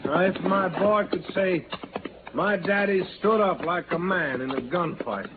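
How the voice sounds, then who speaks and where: An actor playing a proud, stubborn Western outlaw with a folksy American rustic drawl in a 1950s radio drama. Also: male voice, high-pitched, measured pace. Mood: brooding, proud. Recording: noisy, tinny old-time radio recording.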